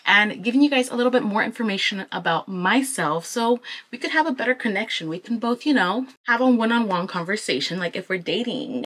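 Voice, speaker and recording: A woman's voice: medium pitch, a little fast, few pauses, a little hiss or hum. A woman in her twenties with a Southern California accent, chatting informally and with plenty of slang as she vlogs. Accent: Southern California American. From Anaheim, California, United States. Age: 20s. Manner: informal, slangy, animated when quoting people. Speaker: vlogger. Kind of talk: casual chatty get-ready-with-me talk.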